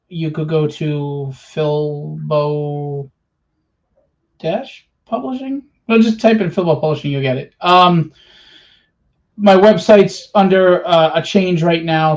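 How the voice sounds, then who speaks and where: A middle-aged man speaking as a panel guest, plain-spoken, earnest and a little halting as he casually promotes his work. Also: on the high side. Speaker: panel guest, indie comics writer and publisher. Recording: slightly dull, lo-fi call audio.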